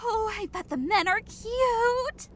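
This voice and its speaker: A woman playing a bubbly, gleefully bloodthirsty young female commando who gushes excitably in an audio story. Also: very high-pitched, slow pace, noisy background, heavily acted. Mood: excited. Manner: gushing, excitable. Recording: music under the voice.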